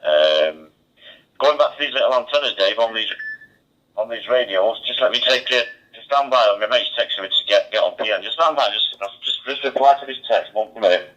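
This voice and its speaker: Man, low sharp voice, casual ham radio chat, dull band-limited two-way radio sound. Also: brisk. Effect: two-way digital radio. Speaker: amateur radio operator. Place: mobile radio station.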